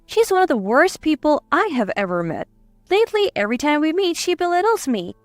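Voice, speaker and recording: High, animated woman narrating a story. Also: a little fast, dry recording, faint music behind. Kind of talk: scripted first-person story narration.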